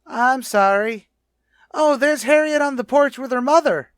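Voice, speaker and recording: An actor playing an eager, goofy teenage boy student with a squeaky adolescent voice in a 1950s radio sitcom. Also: unhurried, smooth, no echo, studio quality. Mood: eager, sheepish.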